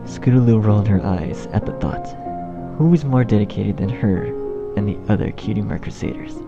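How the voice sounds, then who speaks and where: A man's voice: on the low side, moderate pace, gravelly. A man reading scripted story narration as the narrator. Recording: dull, muffled sound under music. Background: music.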